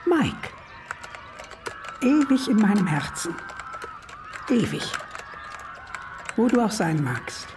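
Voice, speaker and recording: A woman playing a devoted mourner, speaking a solemn, drawn-out, fervent eulogy in a radio feature. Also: medium pitch, very animated, background noise. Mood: fervent mourning. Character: devoted woman mourning a lost beloved man.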